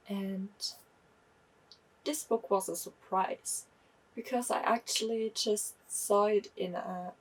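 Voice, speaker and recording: A young woman reviews books in a slow, unhurried way. Her voice is a little husky. She talks candidly and often stops to correct herself. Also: mid-range voice, distant mic, low-quality recording. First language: German. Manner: chatty, candid, self-correcting. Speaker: book vlogger. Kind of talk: casual book review.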